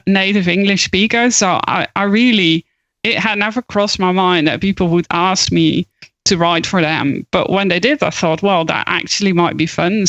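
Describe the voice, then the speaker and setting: A woman's voice: lowish voice, a bit bright, dry recording, poor audio. Dutch-accented woman, dry close sound. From Netherlands. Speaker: guest, copywriter and coach. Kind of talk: podcast interview.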